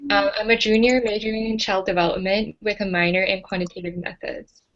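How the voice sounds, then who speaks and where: A woman from China, a university student introducing herself on a panel, soft-spoken. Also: medium pitch, little pitch movement, a little fast, soft background music. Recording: dull, lo-fi audio.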